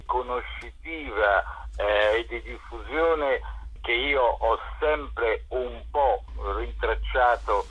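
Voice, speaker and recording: A man's voice: mid-range voice, slow pace, husky. A man giving spontaneous interview answers as a theatre curator, hesitant and often searching for words, over a telephone. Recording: dull lo-fi telephone line.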